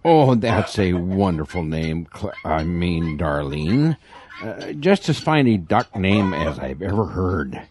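A low, expressive doctor's voice acted by a man, earnest and patronizing. He persuades slowly and reassuringly in comic audio-drama style, with faint noise behind. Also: heavily acted. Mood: soothing. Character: smooth-talking, earnest, reassuring male doctor.

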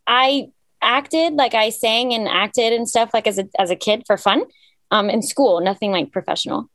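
A woman's voice: fairly high pitch, moderate pace, fairly bright tone, no echo. A young woman singer from Cyprus, talking casually and upbeat about her music in an interview, with lots of fillers. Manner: chatty, filler-heavy, upbeat storytelling. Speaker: singer guest.

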